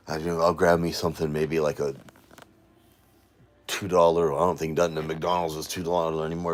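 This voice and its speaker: A weary man, rambling and venting to viewers on a late-night livestream from home. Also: rumbling low. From Florida, USA. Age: 40s. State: exhausted. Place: at home, indoors.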